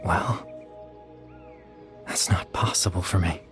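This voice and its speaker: A calm, low-voiced young memory-spirit. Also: male voice, music in the background. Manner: measured, quiet and explanatory. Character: young man living only in memory, calm and apologetic. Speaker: game character. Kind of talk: video game voice acting.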